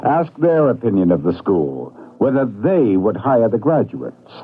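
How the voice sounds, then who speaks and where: A man's voice: lowish voice, very animated, measured pace, breathy edge. A man giving a scripted advisory public service announcement on radio. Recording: narrow, tinny old radio broadcast.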